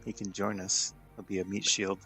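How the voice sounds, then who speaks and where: A man playing a tabletop role-playing game, casually improvising in a measured, deadpan way. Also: low voice, husky, soft background music, poor audio.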